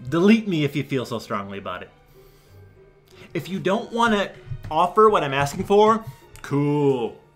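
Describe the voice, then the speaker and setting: A young man giving an over-the-top dramatic reading as a smug, defensive forum poster, theatrical and swinging between breathy whispers and shouting. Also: medium pitch, voice pushed down. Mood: sulky, dismissive. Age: young adult. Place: small room at home.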